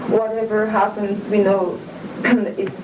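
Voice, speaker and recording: Woman, earnest, calm, echoing room, dull old tape. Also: mid-range voice, slow. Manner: earnest, calm, faith-filled. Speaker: interviewee. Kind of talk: videotaped personal statement. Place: room inside a residential compound building. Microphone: camcorder microphone some distance away. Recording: distant, echoey, hissy old videotape.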